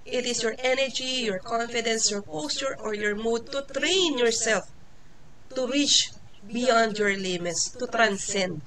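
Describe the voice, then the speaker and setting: Filipino woman in her forties, unhurried informal class talk, hesitant with lots of fillers, crowd murmur behind. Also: on the high side, rough recording. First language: Tagalog. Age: 40s. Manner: hesitant, many fillers and restarts, laughs often. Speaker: teacher. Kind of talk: informal lecture.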